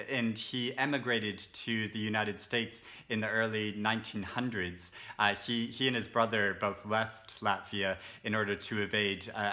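A man's voice: lowish voice, pushed and bright. A man in his thirties talking casually to a camera from a sofa, rambling with many fillers and restarts. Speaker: book vlogger. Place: indoors, seated on a sofa.

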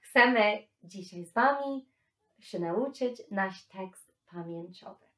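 A woman in her thirties addresses children to teach a verse. She speaks very slowly at a medium pitch, with clear room echo around her. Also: animated, a bit bright. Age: 30s. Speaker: children's lesson presenter. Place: indoors, seated on a sofa. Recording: roomy echo.

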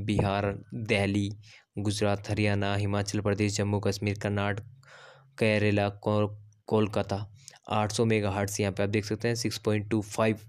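Guy with a low monotone voice reading off slides, halting over the english bits. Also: clean tone. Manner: reads slowly and haltingly in English passages; lists circles and figures off slides. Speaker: channel host. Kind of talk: explainer reading documents on screen.

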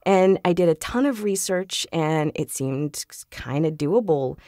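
A woman's voice: low voice, lively intonation, measured pace, mellow, no room sound, clean studio sound. A woman chatting casually as an interview guest, laughing easily as she talks. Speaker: podcast guest, voice actor. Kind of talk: casual conversational interview talk.

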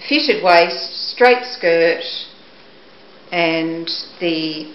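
A middle-aged woman shows auction items with a measured, descriptive delivery. Her voice sits slightly low and moves slowly. It is picked up by a camera microphone in a room at home, with a dull, muffled sound. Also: roomy sound, slight background noise. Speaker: auction presenter. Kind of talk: product showcase, descriptive talk. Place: indoors, living or dining room at home. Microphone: camera microphone a few steps away.

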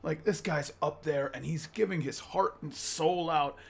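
Creaky-voiced young man vlogging casually. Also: on the high side, measured pace. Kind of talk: casual rambling monologue to camera. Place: small room at home, indoors.

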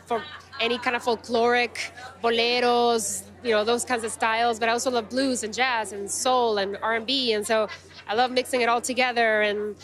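A woman from Guatemala in her thirties, talking into a handheld mic held out by an interviewer on an awards red carpet. Her voice sits a bit high, and her answers come easy and warm with small laughs. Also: level tone, normal speed. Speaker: nominated musician guest.

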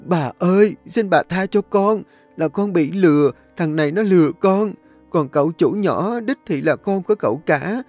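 A man's voice: mid-range voice, expressive, normal speed, a little mellow, dry recording, soft background music, close to an everyday voice. A man voicing a desperate young village woman who pleads and wails for mercy. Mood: begging, wailing. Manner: wailing, pleading.

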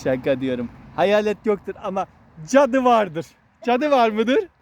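A man's voice: high voice, very animated, unhurried, highs cut off. A man hosting a casual walking vlog outdoors, explaining things as a father talking to his child and to viewers. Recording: noisy outdoor recording with traffic hum.

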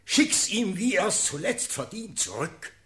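An actor playing an urgent, pleading officer in a classical verse radio drama, declaiming with passion. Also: male voice, high-pitched, sing-song, measured pace, a bit bright, exaggerated voice. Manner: impassioned, declamatory. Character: urgent, pleading officer counselling his sovereign.

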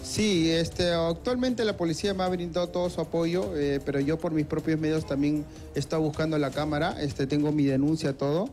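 A man's voice: fairly high pitch, fairly even intonation, fairly quick, hardly any pauses, darkish tone, soft background music. A Peruvian man in his thirties, interviewed outdoors on a street into a handheld mic, speaking hesitantly with fillers. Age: 30s. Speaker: crime victim interviewee. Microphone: reporter's handheld mic.

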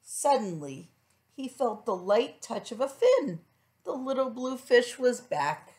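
A woman's voice: fairly high pitch, sing-song, slow. Middle-aged woman reading a children's story. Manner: gentle questioning asides to young listeners. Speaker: preschool teacher. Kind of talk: children's storybook read-aloud with asides.

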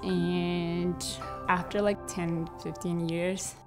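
A young woman from Ethiopia talking earnestly about her life in a documentary-style interview, pausing with fillers. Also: fairly low pitch, flat delivery. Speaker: scholarship recipient. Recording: music playing behind.

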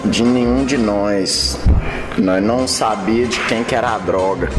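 A man's voice: fairly low pitch, unhurried. Colloquial Brazilian man interviewed over music. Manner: colloquial, slangy speech. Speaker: detained suspect being interviewed. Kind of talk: informal spoken interview answers. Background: music under the voice.

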